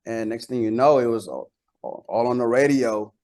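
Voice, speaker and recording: Guy rapper in an interview, casual slangy talk, middle pitch, repeats himself telling stories. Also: moderate pace, darkish tone. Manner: slangy, repeats phrases as he tells anecdotes.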